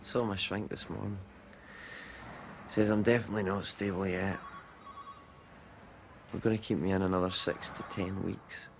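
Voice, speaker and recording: A man talks in a fairly low, crackly voice. He is a dryly upbeat salesman and patient in a naturalistic drama, with faint ambience around him. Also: flat delivery, moderate pace, creaky, long pauses. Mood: wry, upbeat.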